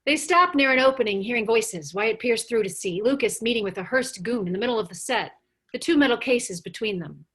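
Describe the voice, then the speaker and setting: A woman reading screenplay stage directions aloud as the narrator of a table read, with animated storytelling. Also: on the high side, fairly quick, husky.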